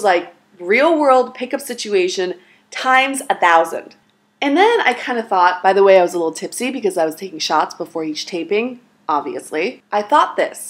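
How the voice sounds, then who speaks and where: A young woman from China, a native Mandarin speaker, giving dating advice in a chatty, sarcastic, long-winded vlog. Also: medium pitch. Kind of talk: casual direct-to-camera vlog.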